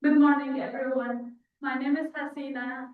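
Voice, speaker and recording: A woman's voice: sits high, little pitch movement, normal speed. A young woman from Afghanistan with an Afghan accent, delivering a prepared personal speech. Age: young adult. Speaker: guest speaker telling her story. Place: large indoor church. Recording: thin phone-like sound, echoey hall, distant.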